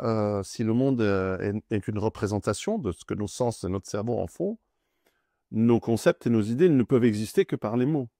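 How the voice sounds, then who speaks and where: Low gravelly-voiced man with an Italian accent. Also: dark tone, dry recording, studio quality. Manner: thoughtful, halting, many restarts and fillers. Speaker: guest. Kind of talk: conversational discussion.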